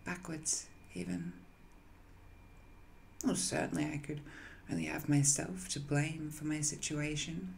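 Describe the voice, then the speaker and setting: A woman playing an ancient, banished magical villain in an audio roleplay, musing and seductive, with hesitant, intimate delivery that often softens toward a whisper. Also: sits low, steady tone, measured pace, vocal fry, pauses often, slight background noise. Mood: reflective, wistful. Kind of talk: scripted audio roleplay monologue, intimate and addressed to the listener.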